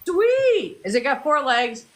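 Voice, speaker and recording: A woman's voice: sits high, very animated, slow pace. A woman hosting a casual live stream, greeting viewers by name and chatting with her guests. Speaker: host.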